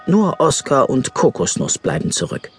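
A man's voice: mid-range voice, wildly swooping pitch, normal speed, faint music behind. A man narrating a scripted children's story expressively.

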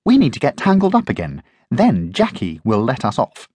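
A narrator voicing a clever, know-it-all male puppy in a storybook, speaking in a slow, smug drawl. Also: medium pitch, huge pitch swings, darkish tone, husky, dry recording. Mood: scheming.

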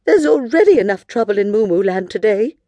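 A woman's voice: mid-range voice, expressive, brisk, dark tone, no echo, lo-fi sound, pitched above the performer's own voice, lightly acted. A woman voicing a haughty, moody cow queen with a pompous, commanding manner in a children's story. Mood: grumpy.

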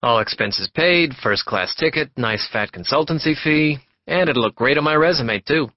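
A loud, boastful man who never stops talking, as a male narrator puts him on. He booms and pushes his words out fast, in a muffled, dry audiobook recording. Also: lively intonation, no echo. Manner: booming, pushy, rapid-fire. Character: loud brash heavy-set male botanist, boastful chatterbox. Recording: dull dry studio recording.

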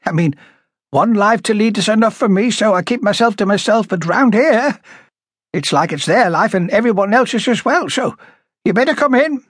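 Man voicing a high, rasping, prickly older woman. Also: lively intonation, moderate pace, a bit bright, no echo, clean studio sound, exaggerated voice. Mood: gossipy, grudging. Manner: indignant, chatty, emphatic. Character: blunt, prickly older working-class woman, wary witness. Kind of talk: audiobook character dialogue.

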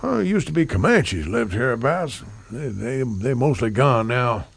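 An actor playing an aging, hospitable frontier rancher in a western audio drama, talking in a folksy, rambling, hesitant way. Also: male voice, medium pitch, big pitch swings, normal speed, gravelly, husky, dry recording, exaggerated voice. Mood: reminiscing, proud.

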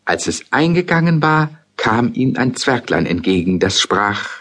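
A husky-voiced man narrating a fairy tale in a measured, storytelling manner. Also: medium pitch, big pitch swings, fairly bright tone.

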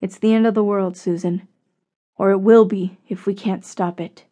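A woman's voice: mid-range voice, steady tone, moderate pace, warm tone, natural voice. A woman narrator voicing a weary, wry, long-lived man in an audiobook story. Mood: grave.

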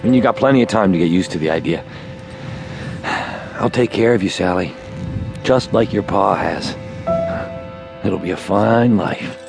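An actor playing a confident, possessive young rancher in a Western audio drama. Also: male voice, deep voice, moderate pace, gravelly, long pauses, over music. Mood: insistent.

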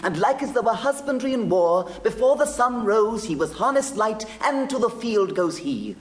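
A man's voice: high voice, animated, moderate pace. An actor playing a gossipy male servant who reports news in rhetorical, storytelling Shakespearean style. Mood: relishing gossip. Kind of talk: classical Shakespearean stage acting.

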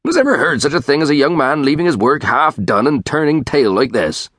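A man's voice: on the high side, very animated, a little fast, a bit bright, no echo, studio quality, pitched above the performer's own voice. A man voicing a hearty, exasperated middle-aged tranter with a rural West Country English accent and a sonorous, emphatic delivery. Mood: indignant grumbling. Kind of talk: audiobook character voice.

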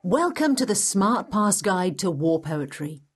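A woman's voice: on the low side, big pitch swings, a little slow, slight background noise. Welcoming female history guide. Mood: welcoming, explanatory. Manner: clear, explanatory. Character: welcoming guide through history, the Past Master. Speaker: narrator. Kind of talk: scripted educational narration.

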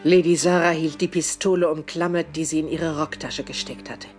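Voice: mid-range voice, fairly even intonation, moderate pace, soft background music. A narrator reading scripted dramatic narration for a German audio drama.

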